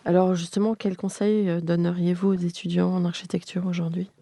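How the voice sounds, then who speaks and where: Creaky, mellow woman podcast interviewer. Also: fairly low pitch, little pitch movement, a little fast, no echo. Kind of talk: conversational interviewing.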